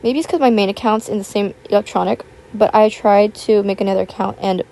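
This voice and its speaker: A young woman, raspy from just waking up, rambling chattily over a voiceover and recorded at home on a built-in tablet mic. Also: mid-range voice, fairly even intonation, a little fast, dark tone, low-quality recording. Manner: rambling, filler-heavy, chatty. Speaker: YouTuber. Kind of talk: casual chat voiceover. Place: home, with household noise. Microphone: built-in tablet microphone.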